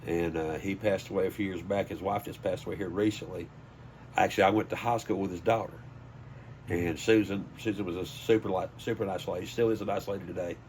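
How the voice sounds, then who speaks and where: Older Southern man, low folksy voice. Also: steady tone, brisk, darkish tone, a little hiss or hum. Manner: folksy, anecdotal. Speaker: high school sports PA announcer. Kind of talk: casual interview storytelling.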